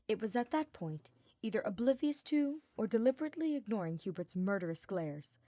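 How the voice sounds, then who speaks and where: A woman's voice: on the low side, mellow, no echo. A woman reading scripted fiction aloud as a narrator. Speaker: audiobook-style fan fiction narrator.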